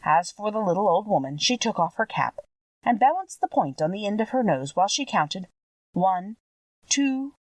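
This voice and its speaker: Expressive woman storyteller, very lively intonation. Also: medium pitch, brisk, no echo, recorded from a distance. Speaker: audiobook reader. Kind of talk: expressive scripted storybook narration.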